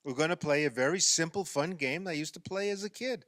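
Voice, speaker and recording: Man playing insistent, needling husband in his fifties for a radio play; high, animated voice, dry close sound. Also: a little fast, no room sound, natural voice. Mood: insistent, bickering. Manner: excitable, insistent, argumentative. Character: eager, needling fifty-year-old husband playing basketball.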